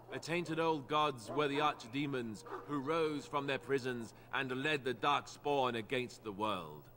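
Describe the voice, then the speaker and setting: A man's voice: medium pitch, natural voice. A man playing a wry, good-natured young warrior in a fantasy video game, with understated, earnest delivery. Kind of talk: video game dialogue acting.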